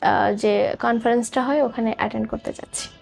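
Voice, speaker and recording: A woman's voice: a little high, fairly even intonation, brisk, vocal fry, faint music behind. A woman, a singer giving thoughtful, somewhat hesitant answers as an interview guest.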